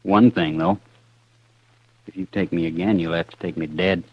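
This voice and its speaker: An actor playing a cool, defiant condemned outlaw in a 1950s radio western, slow and menacing. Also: male voice, low voice, steady tone. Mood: cold, taunting. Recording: dull vintage radio recording.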